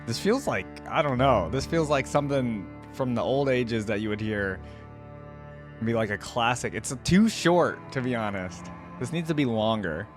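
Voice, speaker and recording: A man giving casual reaction commentary as a video host, thinking out loud in an informal way. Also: mid-range voice, animated, normal speed, over music.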